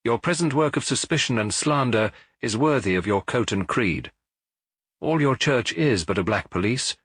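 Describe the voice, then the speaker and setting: A male narrator as a haughty cult prophet, declamatory, husky, close dry sound, storybook villain. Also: mid-range voice, expressive, moderate pace, fairly bright tone, no echo, voice pushed up. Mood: scornful, exalted. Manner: lofty, declamatory, oratorical. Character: grandiose robed male prophet of a sun cult, proud and scornful.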